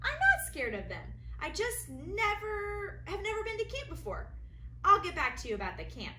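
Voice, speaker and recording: A woman voicing a hesitant, defensive twelve-year-old storybook girl who speaks haltingly. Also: very high-pitched, huge pitch swings, pushed and bright, pitched above the performer's own voice, full character voice. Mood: reluctant, defensive. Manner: reluctant, halting. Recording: roomy echo, faint background noise.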